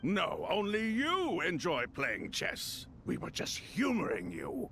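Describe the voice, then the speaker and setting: A man voicing an ancient, solemn retainer spirit in a video game, speaking in measured, ceremonious phrases. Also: sits high, wildly swooping pitch, vocal fry, noisy background, full character voice. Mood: indignant.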